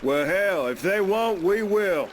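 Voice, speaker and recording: An actor playing a cocky, quick-tempered gunslinger in a video game, folksy and sardonic. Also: male voice, high voice, animated, heavy background noise. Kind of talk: dramatic video game acting. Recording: music behind the voice. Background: music.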